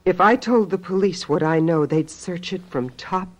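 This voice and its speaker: An actress playing a shrewd, menacing sister-in-law who claims to be a witch, in a radio mystery drama, with a husky voice and a deliberate manner. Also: lowish voice, lightly acted, poor audio. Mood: coolly threatening.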